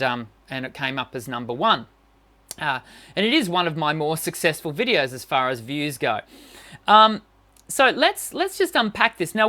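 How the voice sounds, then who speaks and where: A woman's voice: on the low side, a bit bright. A woman voice teacher talking through a live-stream singing lesson, chatty, with many fillers and restarts. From Western country.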